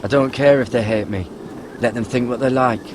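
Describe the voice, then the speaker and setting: Guilt-laden young recruit male actor, game drama: mid-range, sincere and plain-spoken, clear storm noise behind. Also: mid-range voice, fairly even intonation, normal speed, close to an everyday voice. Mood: stubborn. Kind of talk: video game dramatic acting. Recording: rain and thunder ambience behind.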